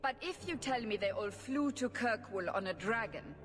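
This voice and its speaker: Actress as a stern interrogator in a reverberant hall. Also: female voice, a little high, expressive, moderate pace, lightly acted. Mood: sceptical, demanding. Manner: terse, demanding. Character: stern, sceptical female interrogator, commanding. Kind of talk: fantasy video game acting. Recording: long echoing hall reverb.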